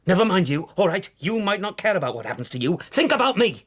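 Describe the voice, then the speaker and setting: Desperate married lawyer, highish voice, very animated, pleading, sarcastic, self-serving, radio drama. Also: male voice, fast talker, full character voice. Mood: angry, pleading. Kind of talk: naturalistic radio drama acting.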